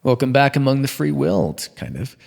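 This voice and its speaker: A man playing a smug, playful supervillain mind controller in an ASMR roleplay, coaxing the listener with theatrical, drawn-out words. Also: mid-range voice, very animated, creaky, a little mellow. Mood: gloating, pleased. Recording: long reverberant echo.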